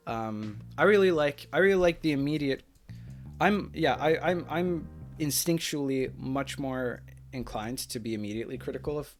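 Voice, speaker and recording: A young man streaming, casually analyzing a chess game in a rambling way full of fillers and restarts, his throat strained from hours of talking. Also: mid-range voice. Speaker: streamer reviewing chess games.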